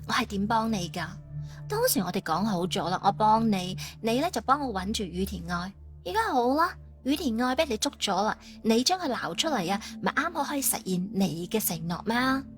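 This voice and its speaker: An actress plays a stern, determined female agent. Her voice sits fairly high, delivering audiobook dialogue over soft music. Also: lively intonation, normal speed, lightly acted. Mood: insistent.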